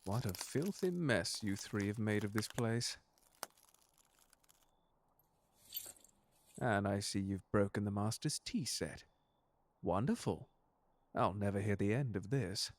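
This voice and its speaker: A man's voice: on the low side, lively intonation, measured pace, slight background noise. A man playing a suave, unflappable butler in an ASMR audio drama, speaking formally with dry courtesy and a protective streak. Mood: dryly annoyed. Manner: formal, polite, dryly sardonic. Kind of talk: ASMR audio drama roleplay addressed to the listener.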